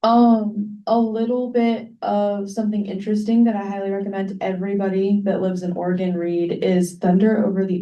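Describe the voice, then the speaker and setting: A woman's voice: mid-range voice, warm tone. A woman in an audience giving an unscripted recommendation to the room. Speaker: audience member. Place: library event room. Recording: muffled, distant microphone.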